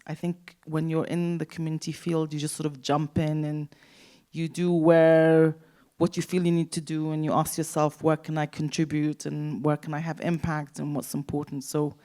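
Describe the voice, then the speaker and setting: A woman with an English accent speaks in a low, unhurried register. She is a nonprofit director introducing herself on a panel, calm and reflective, with soft fillers between her thoughts. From Syria.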